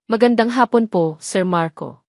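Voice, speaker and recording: A woman's voice: mid-range voice, unhurried, no echo, natural voice. Woman doing a kindly old caretaker guy in an audio story, fatherly and polite, dry sound. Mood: warm welcome. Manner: courteous, fatherly. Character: kindly elderly male estate caretaker, fatherly and welcoming.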